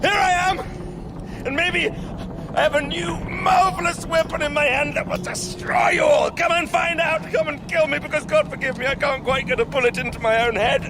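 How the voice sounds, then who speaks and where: A man's voice: extremely high pitch, steady tone, moderate pace, heavy background noise. An actor in a radio drama playing a despairing, haunted male survivor of an alien invasion, with an anguished, stammering, theatrical delivery. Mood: defiant, desperate. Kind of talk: dramatic radio play acting.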